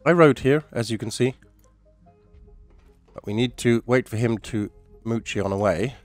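A man's voice: mid-range voice, moderate pace, no echo, soft background music. A man with a stuffy, nasal voice from a cold, chatting casually while commentating a survival game. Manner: chatty, rambling, mild swearing. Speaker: gaming YouTuber.

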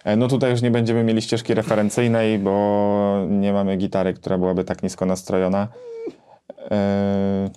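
A man in his thirties explaining a gear comparison conversationally, with hesitant pauses as he thinks. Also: lowish voice, monotone, mellow, clear, resonant tone. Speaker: gear reviewer and co-host. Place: small home music studio, indoors.

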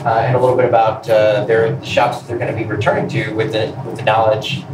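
A man's voice: on the low side, level tone, fast talker. A middle-aged man moderating a town hall panel, introducing guests and asking questions in unscripted talk. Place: large indoor room, seated on a stage. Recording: roomy echo, distant mic, noisy room.

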